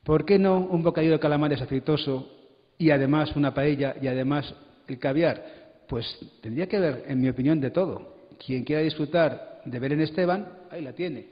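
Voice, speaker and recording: Man speaking in a reverberant hall. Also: a little high. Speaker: conference panelist. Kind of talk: spontaneous public panel talk. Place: conference hall, amplified event. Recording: muffled, reverberant hall recording.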